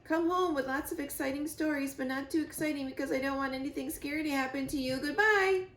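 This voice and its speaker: A woman puts on a small squirrel from a storybook, high and bubbly. It chatters along in an excitable, fussing ramble, in a roomy space. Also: fast, slight background noise. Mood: fond, fussing.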